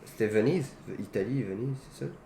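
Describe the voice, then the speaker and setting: A man's voice: medium pitch, a little fast, warm tone. A man co-hosting a casual talk show about films. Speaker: co-host of a film talk show. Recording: roomy echo, faint background noise.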